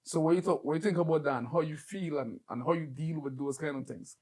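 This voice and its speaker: Guy hosting a chat show, higher voice, thoughtful, asks slow reflective questions. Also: mellow. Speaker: interview host. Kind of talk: casual interview chat.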